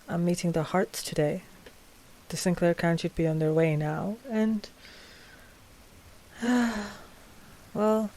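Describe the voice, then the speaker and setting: A woman playing an anxious, tender vampire noblewoman in an ASMR roleplay, speaking softly and hesitantly with sighs. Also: on the low side. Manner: soft, hesitant, sighing.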